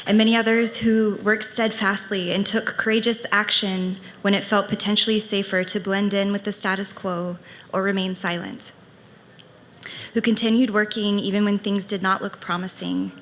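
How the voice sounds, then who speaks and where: A woman giving measured, prepared remarks of gratitude to a congregation. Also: mid-range voice, little pitch movement, fairly bright tone, big-room sound, a little hiss or hum. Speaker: congregation member speaker. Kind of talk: prepared earnest speech. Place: congregation meeting hall.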